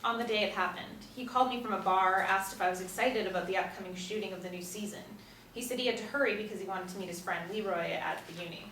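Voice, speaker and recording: A woman's voice: medium pitch, fast, a bit bright, lightly acted. A woman reading the part of a defiant, guarded star actress under questioning, at a TV pilot table read. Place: small meeting room, seated in a row. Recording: echoey room, distant mic, faint noise.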